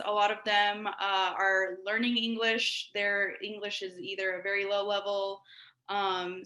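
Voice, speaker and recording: A woman with a bright, clear voice speaks earnestly, pausing often and leaning on fillers as she works through her thoughts. She is a panelist on a video call, and the room around her rings with echo. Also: medium pitch. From Ecuador. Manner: earnest, many fillers and pauses. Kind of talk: panel discussion remarks.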